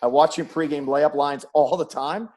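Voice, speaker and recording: A man's voice: fairly high pitch, steady tone, normal speed, a little mellow. A middle-aged American man hosting a conversational sports interview. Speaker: sports interview host, play-by-play announcer.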